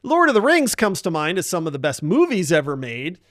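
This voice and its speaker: Hyped up guy hosting a gaming podcast, bright forceful voice, dry close sound. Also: high voice, brisk, no room sound. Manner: animated, excitable, hyped-up. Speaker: podcast host. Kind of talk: casual gaming podcast banter.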